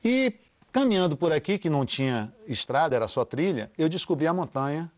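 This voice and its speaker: A man's voice: a little high, sing-song, a little fast, darkish tone. Storytelling man acting out quotes. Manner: storyteller who acts out quoted dialogue. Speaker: interviewee. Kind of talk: anecdotal storytelling.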